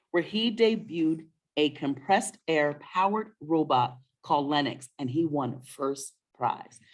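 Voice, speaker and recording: Low-voiced, encouraging woman hosting story time. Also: darkish tone, rough recording. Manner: encouraging, often asks questions. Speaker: host of a children's story-time webinar. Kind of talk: casual conversational presenting to and with a child.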